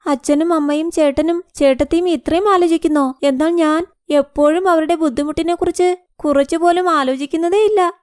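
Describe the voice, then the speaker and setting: Cartoon daughter played by a woman: young, married, high-pitched, self-pitying and wheedling, dry recording. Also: darkish tone, no room sound. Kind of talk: Malayalam cartoon moral story acting.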